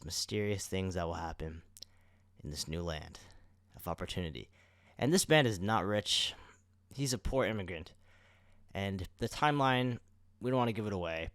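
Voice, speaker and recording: Guy podcast host w a slightly low voice, rambles casually, dry close sound. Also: dry recording.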